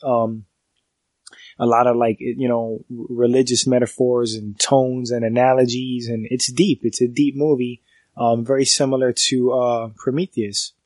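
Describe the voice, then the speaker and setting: Stuffy guy with a cold rambling about a movie on his podcast, pretty flat tone, dry close sound. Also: medium pitch, measured pace, no echo. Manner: rambling, many fillers and restarts. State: congested from a cold. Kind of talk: casual solo review podcast.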